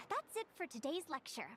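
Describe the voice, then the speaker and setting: A woman's voice: extremely high pitch, very animated, a little slow, background noise, exaggerated voice. A woman voicing an eager, bubbly young guild advisor who lectures with gushing enthusiasm, in a video game. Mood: chatty. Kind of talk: game voice acting. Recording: game soundtrack behind.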